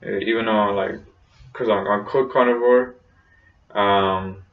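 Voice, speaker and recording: Rambling young man, low flat voice. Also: a little slow. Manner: rambling, many fillers and restarts. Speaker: vlogger. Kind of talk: casual personal vlog talk. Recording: roomy, distant, dull lo-fi sound.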